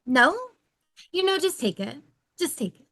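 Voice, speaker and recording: A woman's voice: high-pitched, very animated, a little slow, sharp tone, no echo, clean studio sound, heavily acted. A woman reading an awkward, rambling, dazed man in a sitcom table read.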